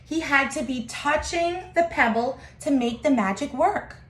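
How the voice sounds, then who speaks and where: A woman teacher reading a children's picture book aloud, with expressive, deliberate phrasing and emphasis. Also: high-pitched, reverberant.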